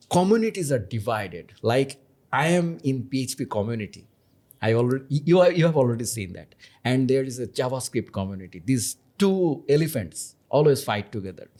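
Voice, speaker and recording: Bangladeshi host echoing his guest, chatty. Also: medium pitch. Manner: energetic, repeats guest's points, stumbles over words, laughs. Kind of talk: casual podcast conversation.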